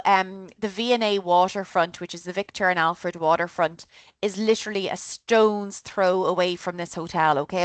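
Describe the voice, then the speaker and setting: A woman's voice: medium pitch, fairly bright tone, no echo. An Irish woman giving an online travel briefing, chatty and upbeat, often checking in with her listeners. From Ireland. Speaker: travel company presenter. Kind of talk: online group trip briefing.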